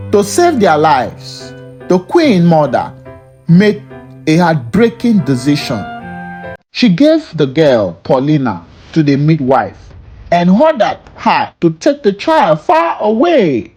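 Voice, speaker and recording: A storyteller giving a scripted folktale narration, slow and dramatic, with swooping emphasis on key words. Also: medium pitch, a little mellow.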